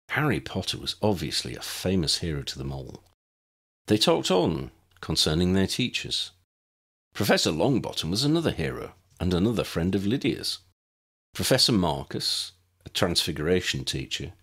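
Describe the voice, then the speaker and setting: Deep, husky male storyteller. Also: fairly bright tone. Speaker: audiobook narrator. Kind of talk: scripted storybook narration.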